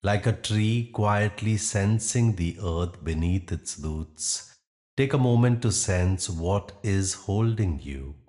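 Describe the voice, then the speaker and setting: A man leading a guided yoga nidra meditation, speaking calmly and gently in short, unhurried phrases with pauses. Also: deep voice. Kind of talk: guided meditation, slow instruction with long pauses.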